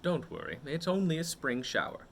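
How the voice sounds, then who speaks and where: A man voicing a fussy, mild-mannered storybook homeowner who speaks in a deliberate, thoughtful, declarative way. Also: a little high, sing-song, slight background noise, pitched above the performer's own voice. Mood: mild, resigned.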